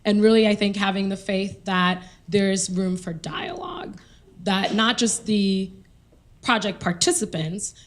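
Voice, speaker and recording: A woman's voice: medium pitch, unhurried. A woman on a conference panel, speaking thoughtfully with occasional fillers. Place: conference hall.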